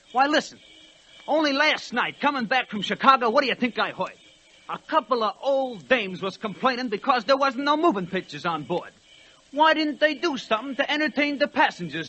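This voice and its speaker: Streetwise American locomotive: slangy, combative, high and forceful, vintage radio hiss. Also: male voice, exaggerated voice. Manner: slangy tough-guy talk, combative. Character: brash, streetwise male American express locomotive. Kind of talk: 1940s radio play acting. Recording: hissy 1940s radio recording.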